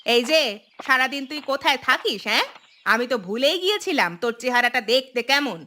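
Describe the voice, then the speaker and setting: Woman acting a high, bright, scolding cartoon mother. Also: fairly quick. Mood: reproachful. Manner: scolding, complaining, animated. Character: exasperated scolding village mother of mischievous children.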